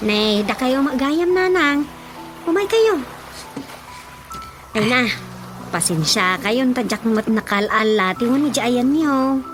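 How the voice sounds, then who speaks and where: An actress playing a respectful, accommodating younger wife, polite and lilting, in an Ilocano radio drama comedy. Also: female voice, on the high side, animated, slow pace, pauses often. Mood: welcoming. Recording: music behind the voice.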